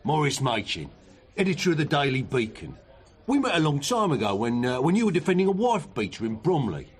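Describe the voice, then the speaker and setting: A pushy, flattering newspaper editor in a radio play. His mid-pitched voice is husky and very animated. He comes across as chummy and self-important. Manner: chummy, insistent, halting at times. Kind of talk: radio drama dialogue.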